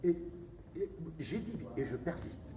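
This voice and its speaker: A man's voice: on the high side, big pitch swings, measured pace, crowd noise. A man with a Congolese accent argues insistently in a political interview, switching between languages as he makes his case. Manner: insistent, argumentative, code-switching. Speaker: interviewed politician, presidential candidate. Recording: distant, echoey, noisy room recording.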